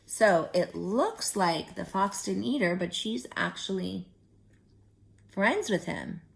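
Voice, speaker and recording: A woman reading a story aloud to young children like a teacher, expressively, pausing to add simple explanatory comments. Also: medium pitch, animated, vocal fry.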